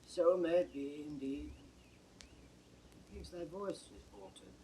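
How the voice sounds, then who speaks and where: An older man playing a blinded old nobleman, grave and despairing, in solemn, measured classical Shakespearean stage acting. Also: a little high, lively intonation, dark tone. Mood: weary, grave. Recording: distant, noisy 1960s studio drama recording.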